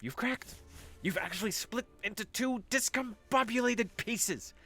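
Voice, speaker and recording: A man's voice: high voice, measured pace, pushed and bright, soft background music, full character voice. An actor playing a wry, exasperated young man in an audio drama, with a theatrical, sarcastic delivery. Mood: alarmed, sarcastic.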